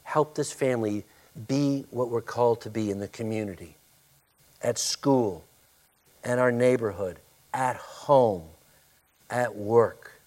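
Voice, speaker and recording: A male pastor preaching a sermon and praying, with a loud, forceful voice, emphatic when preaching and hushed in prayer. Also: mid-range voice, takes it slowly, pauses often.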